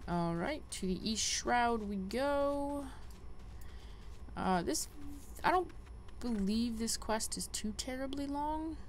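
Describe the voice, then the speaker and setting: A woman's voice: fairly high pitch, expressive, slow pace, long pauses, a little hiss or hum. A woman giving casual, chatty game walkthrough commentary, thinking aloud as she plays. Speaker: gaming video host.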